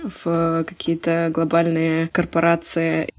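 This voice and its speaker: A woman hosting a podcast, asking relaxed, conversational follow-up questions. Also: deep voice, takes it slowly. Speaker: podcast host. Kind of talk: casual interview questions.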